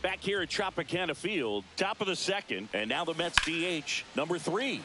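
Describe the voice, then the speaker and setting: A man voicing a brisk, excitable play-by-play baseball commentator in a video game, speaking in clipped phrases that lift on big plays. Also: a little high, very noisy. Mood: brisk, focused.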